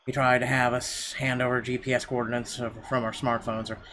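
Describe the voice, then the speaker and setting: A man's voice: medium pitch, flat delivery, fairly quick, a bit bright, slight background noise. A man streaming a game, reading its narration aloud with the odd stumble and offhand aside. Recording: game music behind the voice.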